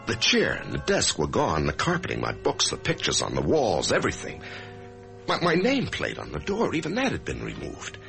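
An anxious, brooding office man in old-time radio drama style. His deep voice has a bright, forceful edge and breaks into very animated, halting delivery. Faint music sits behind him. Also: vocal fry, full character voice, rough recording. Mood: bewildered. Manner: halting, emotional, swinging from brooding to agitated. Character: anxious middle-class office man haunted by death. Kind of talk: old-time radio drama acting, first-person narration and dialogue.

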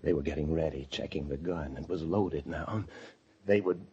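An actor playing a paranoid fugitive husband, narrating an old-time radio thriller with intense dread. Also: male voice, extremely low pitch, normal speed, husky, full character voice. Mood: brooding dread. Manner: intense, breathless dread, swinging from brooding to frantic shouts. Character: desperate, paranoid fugitive husband confined in a locked room. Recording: dull old radio recording with music.

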